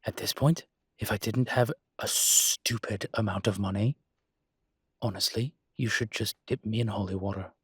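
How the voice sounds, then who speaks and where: A man playing a suave ancient demon professor in an intimate roleplay audio drama, with a husky, breathy low voice and a dry, teasing, urbane manner. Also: fairly even intonation, normal speed, no room sound. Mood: smug, casual.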